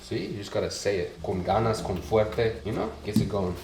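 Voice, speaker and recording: Young male cooking vlogger, congested, lowish voice, loose profane chatter, sizzling pan behind. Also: very animated, moderate pace. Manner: casual, profane, chatty with Spanish food words. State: stuffed-up nose. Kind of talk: casual cooking vlog. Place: home kitchen. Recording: clear kitchen noise behind. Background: sizzling pan and cooking noises.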